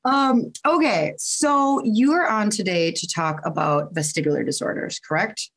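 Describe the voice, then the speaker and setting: A woman's voice: medium pitch, moderate pace. A woman hosting a podcast in a casual chat, rambling and interrupting herself with laughter. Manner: rambling, self-interrupting, giggly. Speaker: podcast host.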